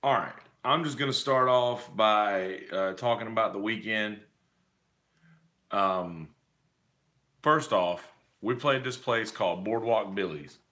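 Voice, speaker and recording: A hoarse man talks through a loose, casual monologue about his weekend, his voice worn rough. He sits fairly low in pitch yet sounds bright and forceful, mumbling, backtracking and restarting his sentences as he goes. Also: slow pace. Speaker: gigging musician.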